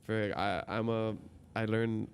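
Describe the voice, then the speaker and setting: A man's voice: fairly low pitch, level tone, takes it slowly, a little hiss or hum. A man from Hawaii whose first language is Hawaiian, hosting a podcast in a friendly way, laughing often and asking questions. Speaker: podcast host. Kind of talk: casual interview chat.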